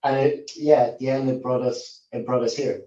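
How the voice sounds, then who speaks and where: A man's voice: medium pitch, steady tone, moderate pace, warm tone. Fluent young man, alumnus guest; far-off, echoey, lo-fi call audio. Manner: articulate, fluent. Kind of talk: casual remote testimonial. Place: office. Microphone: video call. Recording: roomy, distant, dull video-call audio.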